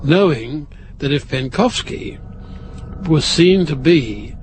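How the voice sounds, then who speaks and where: A man speaking as a documentary contributor, explaining history in a deliberate, emphatic way. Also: fairly high pitch, animated, a crowd in the background.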